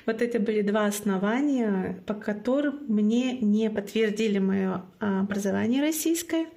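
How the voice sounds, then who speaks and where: A woman's voice: medium pitch, moderate pace, gravelly, dark tone. A woman from Northern Russia whose first language is Komi, a guest in an interview telling her personal story in an earnest way and repeating key phrases for emphasis. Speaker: guest, club founder.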